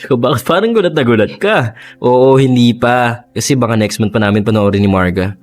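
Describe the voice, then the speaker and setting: An actor playing a hurt, jealous boyfriend in a radio drama, with an emotional, pleading manner. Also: male voice, medium pitch, brisk, soft background music, natural voice. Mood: casual. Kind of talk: Tagalog radio drama acting.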